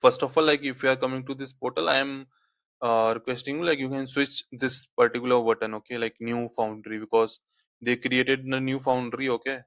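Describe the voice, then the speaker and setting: A man whose first language is Hindi, walking through a software tutorial on screen, peppering his speech with "okay, like" fillers. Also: medium pitch, steady tone, a little fast, no room sound. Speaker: tutorial instructor.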